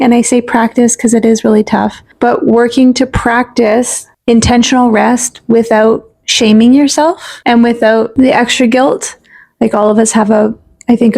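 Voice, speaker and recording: A woman co-hosting a casual, supportive chat, speaking in a gently reassuring, affirming way. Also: a little high, creaky. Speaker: podcast co-host. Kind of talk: casual supportive conversation about self-care.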